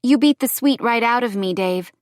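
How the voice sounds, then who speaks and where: A woman acting a defiant young mother, high-pitched. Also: animated, normal speed, no echo, studio quality, lightly acted. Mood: bitter, resolute. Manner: firm, defiant. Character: young mother standing firm against abuser, defiant.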